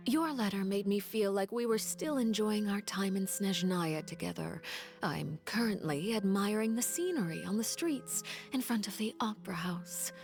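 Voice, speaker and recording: A woman's voice: medium pitch, lightly acted. An actress voicing a composed, polite woman with a formal, probing, coolly menacing manner in a video game. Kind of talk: game voice acting. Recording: music behind the voice.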